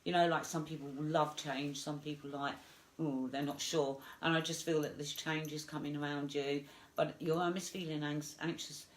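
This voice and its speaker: A woman's voice: low voice, level tone, moderate pace, poor audio. Congested woman giving a psychic reading. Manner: chatty, hesitant, many fillers. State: congested with flu. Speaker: psychic medium.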